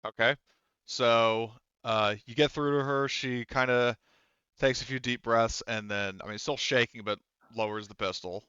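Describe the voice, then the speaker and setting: Give a man with a smooth, clean voice as game master. He narrates casually and hesitantly, feeling his way through explanations. The recording is dry and a little dull. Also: mid-range voice, steady tone, measured pace, bright, forceful tone, no echo. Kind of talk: casual improvised narration of a tabletop roleplaying game.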